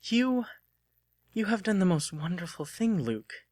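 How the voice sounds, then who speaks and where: A man's voice: high-pitched, very animated, a little slow, darkish tone, no room sound, clean studio sound, voice pushed up, exaggerated voice. Man putting on an emotional, lilting young sweetheart woman. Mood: emotional, relieved. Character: excited, affectionate, bossy young woman sweetheart. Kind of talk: dramatic audiobook character voice.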